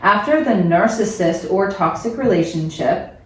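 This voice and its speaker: A woman's voice: medium pitch, expressive, unhurried. A woman hosting a family podcast, introducing the show and leading with questions in an easygoing way. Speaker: podcast host. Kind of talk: podcast intro and casual chat. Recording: very echoey room, far from the mic, faint noise.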